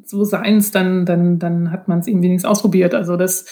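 A woman's voice: fairly low pitch, flat delivery, fairly quick, warm tone. A woman speaking as a guest author in a casual podcast conversation, explaining things in a reflective way.

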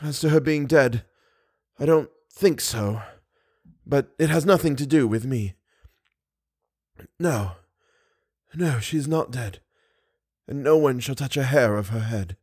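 A man voicing a weak, dying masked man who is lovesick and broken, speaking in halting short phrases and gasping for breath. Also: medium pitch, expressive, a little mellow, slightly rough, dry recording, studio quality. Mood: pleading.

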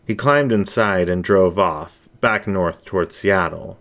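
A man narrating a scripted story in a dramatic, suspenseful and expressive way. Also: sits low, a little slow. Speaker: story reader.

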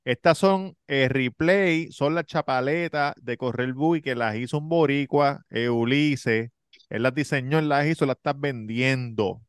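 A man's voice: medium pitch, unhurried, smooth, dry recording, studio quality. A man with a Puerto Rican accent, a podcast host teasing his co-hosts in casual joking banter. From Puerto Rico. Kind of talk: casual chat.

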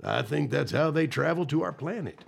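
A man's voice: a little high, animated, fairly quick, gravelly, full character voice. A man acting in an audio drama as a confident, enthusiastic time-travel scientist who explains things informally.

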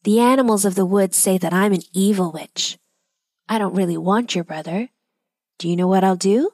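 A woman voicing a lonely old woman who acts like a threatening witch, loud and angry at first, then quiet and sad. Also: mid-range voice, normal speed, dry recording, clean studio sound, natural voice.

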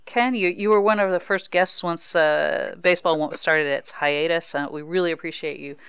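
Low, clear-voiced American woman hosting sports talk. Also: moderate pace, a bit bright, dry recording. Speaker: podcast host and sports reporter. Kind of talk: casual conversational sports talk.

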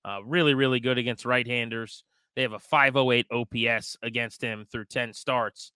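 Bright, clear male sports co-host. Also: medium pitch, fairly even intonation, normal speed, no echo. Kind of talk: conversational baseball commentary.

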